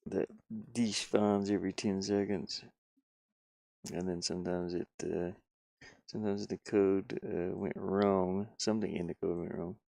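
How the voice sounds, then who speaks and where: A man giving casual, halting live commentary as he works on game art, muttering and thinking aloud. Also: sits low, level tone, gravelly, warm tone, no echo. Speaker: streamer and hobby game designer.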